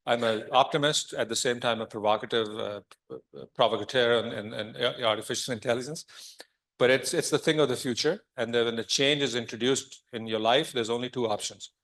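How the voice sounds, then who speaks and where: A man's voice: medium pitch, a little fast, a bit bright. Male conference speaker in Q&A; reflective, self-correcting. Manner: thoughtful, with repeated restarts. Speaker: conference speaker answering questions. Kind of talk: Q&A discussion.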